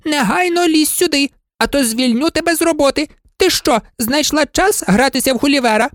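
A woman's voice: sits high, brisk, no echo, pitched above the performer's own voice, close to an everyday voice. Lady doing a stingy old fairy boss, raised voice, bouncy, dry sound. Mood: angry scolding. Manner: scolding, commanding.

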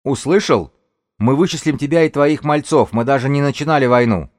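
A man's voice: lowish voice, expressive, moderate pace, no room sound, clean studio sound, lightly acted. A man voicing a bold young woman leader who speaks bluntly, defiant and taunting, in an audiobook story. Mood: defiant, shouting. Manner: shouts challenges, blunt and taunting.

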